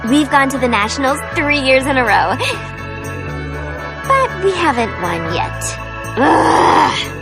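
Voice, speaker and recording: Very high-pitched perky cheerleader. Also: female voice, big pitch swings, slow, creaky, pauses often, over loud music, full character voice, poor audio. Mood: flirty, bubbly. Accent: American.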